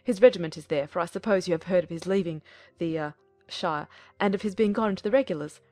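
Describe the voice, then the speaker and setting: A woman's voice: fairly low pitch, fast talker, dark tone, no echo, faint music behind, close to an everyday voice. A woman voicing a fussy, self-important middle-aged mother in a period novel audiobook, effusive and exclaiming as she chatters on. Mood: proud, complaining.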